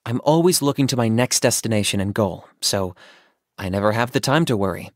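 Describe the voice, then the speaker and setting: A man voicing a calm, pragmatic young hunter in a video game, businesslike, deadpan and composed. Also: on the low side, fairly quick, no room sound, clean studio sound, lightly acted. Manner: deadpan, matter-of-fact, composed. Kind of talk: video game character voice acting.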